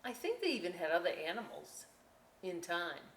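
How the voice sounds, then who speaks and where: A woman hosting a children's storytime and craft session, chatty and expressive, speaking directly to young listeners. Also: on the low side, normal speed. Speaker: library storytime host. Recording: echoey room.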